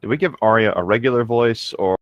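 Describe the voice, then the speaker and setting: A man's voice: medium pitch, brisk, no echo, muffled recording. A sardonic man reading fan fiction aloud and mocking it in casual banter.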